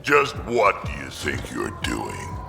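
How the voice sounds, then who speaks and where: An actor voicing a gruff, aging alien warrior in a video game, blunt and world-weary, with a deep gravelly voice. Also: male voice, wildly swooping pitch, slow, pushed and bright, long reverb tail, faint music behind, full character voice. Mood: combative. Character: gruff, aging male alien krogan warrior, battle-loving.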